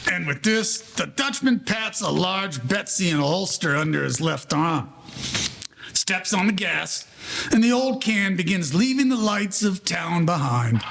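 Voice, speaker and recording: High-pitched, animated male storyteller. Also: unhurried, gravelly. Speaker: narrator. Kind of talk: scripted storytelling narration for 1940s-style radio play.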